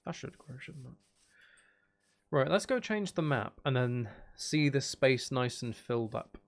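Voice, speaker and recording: A man's voice: medium pitch, lively intonation, a little slow. A man giving casual, unscripted gameplay commentary as a video host, thinking aloud and trailing off mid-sentence.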